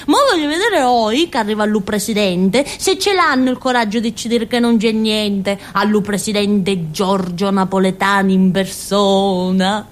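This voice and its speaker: An actress playing a naive, simple-minded village woman in a theatrical monologue, rambling and childlike with a southern Italian, Calabrian accent. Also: medium pitch. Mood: proud, chatty. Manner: rambling, childlike, with muttered asides and little interjections. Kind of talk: theatrical monologue in a radio drama.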